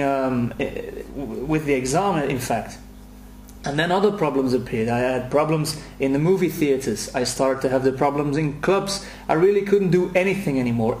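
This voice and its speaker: A man's voice: a little high, a little mellow, echoey room, slight background noise. A man in his late twenties from Belgium with a Belgian accent, earnestly recording a video message for his website and often repeating words as he starts a sentence. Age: late 20s. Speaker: website host.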